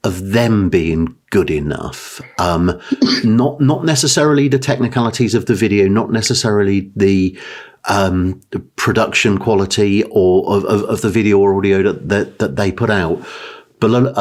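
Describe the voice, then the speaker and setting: A man hosting an interview with a booming voice, rambling a little and repeating words as he goes. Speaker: podcast host. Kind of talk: casual interview chat.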